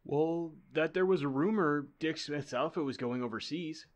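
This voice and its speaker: An actor playing a detective's eager, puzzled assistant in old-time radio drama style. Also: male voice, a little high, normal speed, natural voice. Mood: recounting.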